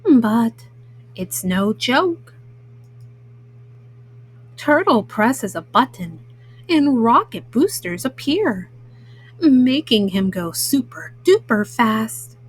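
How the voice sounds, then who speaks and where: A woman reading children's bedtime stories aloud as a storyteller, in a gentle, motherly way. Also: on the high side, big pitch swings, very slow, long pauses, husky, poor audio.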